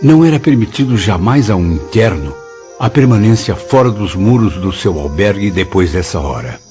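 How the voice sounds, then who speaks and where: An actor playing a polite, curious apprentice spirit recounting memories in a radio drama, formal and literary. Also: male voice, lowish voice, expressive, moderate pace, a little mellow, natural voice. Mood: courteous, reflective. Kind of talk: radio drama acting and narration. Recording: slightly muffled radio drama with music.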